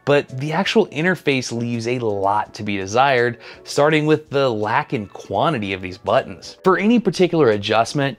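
A man in his thirties giving a scripted gear review in a dry, matter-of-fact, slightly sardonic manner, recorded on a lapel mic indoors. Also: mid-range voice.

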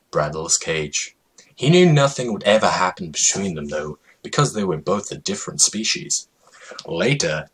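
A man with a husky voice giving a mock-dramatic, emphatic comedic reading of a story as its narrator. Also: lowish voice, a bit bright.

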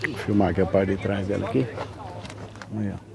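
A man from Brazil giving a folksy, chatty sales pitch while filming cars at an outdoor car fair on a handheld camera. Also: fairly quick, vocal fry, crowd noise. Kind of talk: walkaround sales vlog. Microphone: handheld camera mic, filming.

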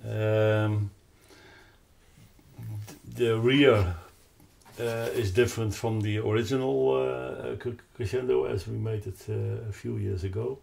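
An older man with a Dutch accent explains a product off the cuff, haltingly searching for words. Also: fairly low pitch, steady tone, gravelly, a little mellow. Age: 60s or older. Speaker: loudspeaker designer presenting his product. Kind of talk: unscripted explanatory product talk. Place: carpeted, wood-panelled listening room indoors.

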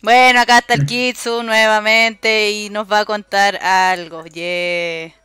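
A woman's voice: medium pitch, level tone, slow, pushed and bright, smooth, dry recording. A Chilean woman hosting a casual voice chat on her stream. She is chatty, uses a lot of slang and laughs often. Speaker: streamer host.

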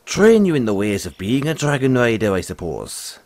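A man voicing a friendly, philosophical dragon rider and gentle mentor in an audio roleplay, speaking in a folksy, earnest, musing way. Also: mid-range voice, very animated, normal speed, a little hiss or hum. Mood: thoughtful, persuading. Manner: folksy, earnest, musing, says "aye" and "lad". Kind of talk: audio roleplay addressed to the listener.

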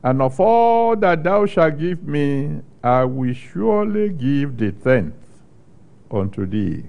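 An older man from Nigeria preaching a sermon and reading scripture, speaking slowly and deliberately with long pauses into a handheld microphone on a studio stage. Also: fairly high pitch, very animated, creaky, narrow, tinny audio. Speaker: pastor. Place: studio stage with a large screen behind.

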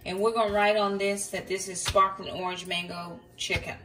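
A woman's voice: medium pitch, level tone, normal speed, fairly bright tone, soft background music. A woman, a mom vlogger, talking through a casual cooking walkthrough in her home kitchen, explaining each step with a few ums. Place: home kitchen counter indoors. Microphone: phone camera pointed down at the counter. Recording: voice a bit far from the mic, faint background noise.

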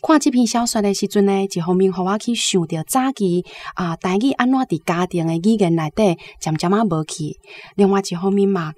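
Woman, Taiwanese accent, middling pitch, academic guest, informal commentary. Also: brisk, darkish tone, soft background music.

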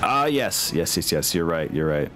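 A man's voice: deep voice, very animated, normal speed. A man talking casually as game master of a tabletop wargame. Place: indoor room, online group game stream. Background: music.

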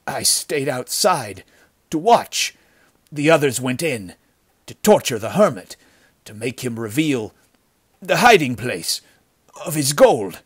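A man voicing a dying, desperate bandit in halting, strained phrases for an adventure story. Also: mid-range voice, expressive, breathy edge, no echo, pitched above the performer's own voice. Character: mortally wounded bandit, staggering and desperate. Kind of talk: dramatic storybook character acting.

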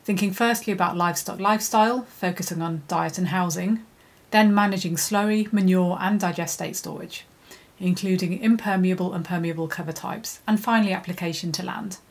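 A middle-aged woman with a lowish voice talks through a scripted lesson to camera, steady and clear. She speaks as an environmental consultant, recorded close up in a quiet indoor studio. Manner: measured, clear, steady delivery. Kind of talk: scripted educational presentation. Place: indoor studio, plain background.

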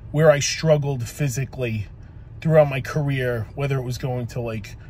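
A man's voice: mid-range voice, slight background noise. A man in his forties, a police officer, giving a casual motivational talk into a phone camera in his parked car, rambling with many ums and likes and casual swearing. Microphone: phone camera on the dashboard.

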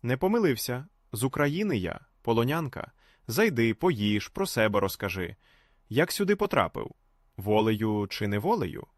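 Man voicing a curious captive maiden, lively intonation. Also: medium pitch, normal speed, dry recording, clean studio sound. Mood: welcoming, curious. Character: young captive Ukrainian woman, hospitable and curious. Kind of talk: folk tale character voice.

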